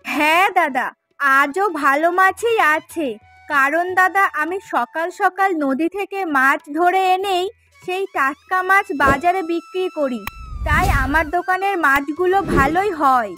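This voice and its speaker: Woman voicing a very high-pitched chatty village fishwife. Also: a little slow, bright, forceful tone, soft background music, heavily acted. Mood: coaxing, friendly. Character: chatty, eager village fishwife in a fairy tale. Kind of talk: Bengali fairy tale cartoon dialogue.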